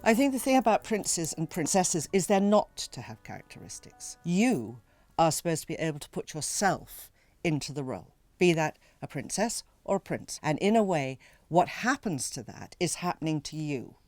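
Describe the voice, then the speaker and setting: A woman speaking as an author in an interview, reflective and deliberate. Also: low voice, expressive, a little mellow, no room sound, faint music behind.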